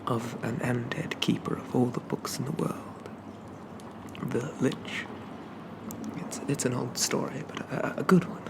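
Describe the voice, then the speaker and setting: A bashful, genteel zombie gentleman, acted by a man, speaks very slowly in a soft ASMR half-whisper that often breaks into gravelly creak. He is hesitant and quaintly polite, with an old-fashioned turn of phrase. Loud noise runs constantly behind the voice. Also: mid-range voice, fairly even intonation. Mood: delighted, bookish.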